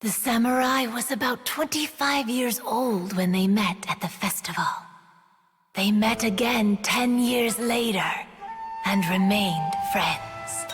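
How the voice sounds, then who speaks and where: Higher female voice, fading yokai spirit, world-weary, reflective, breathy endings, game narration, light background music. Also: slow, heavily acted. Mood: wistful, solemn. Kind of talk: video game storytelling.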